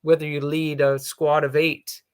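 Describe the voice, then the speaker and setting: A man with a fairly high voice explains ideas in an unhurried, thoughtful way. He fills his pauses with "you know" and works through his points as he talks. It sounds like casual podcast conversation. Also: flat delivery, smooth.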